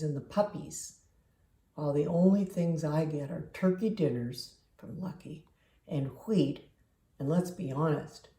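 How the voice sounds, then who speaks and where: Sulky, resentful picture-book crayon female reader, low-ish, slow, grumbling, roomy echo. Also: mellow, far from the mic. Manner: grumbling, deliberate. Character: resentful, overlooked beige crayon. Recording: roomy, echoing home recording.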